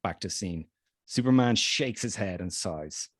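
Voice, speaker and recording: A man's voice: low-pitched, lively intonation, a little slow, dry recording, studio quality. A man reading screenplay stage directions aloud as narrator in a scripted table read.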